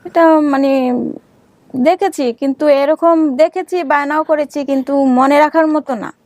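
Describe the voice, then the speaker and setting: A Bangladeshi woman speaks as an interview guest in a high-pitched voice. Her replies are short, polite and somewhat hesitant, and the recording is dry and free of echo. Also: fairly even intonation, measured pace, a little mellow. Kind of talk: answering interview questions.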